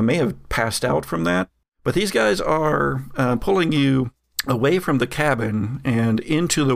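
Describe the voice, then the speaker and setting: A man's voice: medium pitch, gravelly. A man narrating as game master in a casual tabletop game, with many fillers and laughs.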